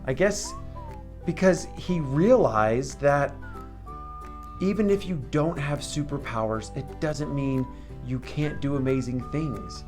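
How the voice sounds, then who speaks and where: A man's voice: fairly high pitch, slow pace, a little mellow, soft background music. A man hosting a children's storytime, talking casually and reflectively to young viewers in an encouraging, questioning way. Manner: encouraging, asks the listener questions.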